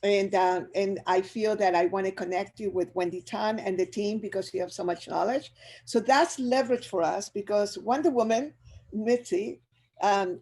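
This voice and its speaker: Energetic older woman with a Chilean accent. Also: medium pitch. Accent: Chilean Spanish. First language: Spanish. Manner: energetic, upbeat, addresses audience directly. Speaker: business speaker. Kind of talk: motivational webinar talk.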